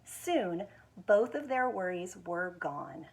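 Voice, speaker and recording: An American woman with a husky voice reads a children's picture book aloud expressively, stretching words for emphasis. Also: on the low side. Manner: expressive, with drawn-out emphasis and quiet asides to show pictures. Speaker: executive director of a schools foundation, guest reader.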